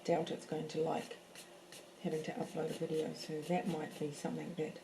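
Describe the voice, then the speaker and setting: A woman's voice: low voice, completely flat delivery, moderate pace, creaky, noisy background. A woman teaching a live painting class from her home, chatting casually as she works and thinking aloud with fillers and restarts. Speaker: art teacher. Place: home in a French village.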